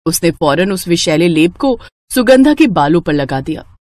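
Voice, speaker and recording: A woman's voice: fairly low pitch, big pitch swings, normal speed, darkish tone, no echo. A woman narrating a scripted moral tale as a storyteller for a cartoon.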